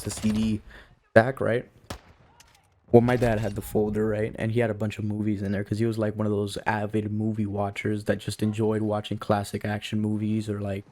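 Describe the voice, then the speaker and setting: Young man, low-pitched, flat and casual. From Cuba. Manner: informal, rambling, peppered with "you know" and "like". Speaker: video creator. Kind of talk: casual rambling commentary to viewers.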